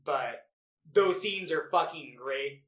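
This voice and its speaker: A man with a fairly high voice co-hosts a casual movie podcast. He rambles enthusiastically and swears freely. The room around him gives the recording a clear echo. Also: slow, fairly bright tone. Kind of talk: casual chat about favorite films. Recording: roomy, echoey home podcast recording.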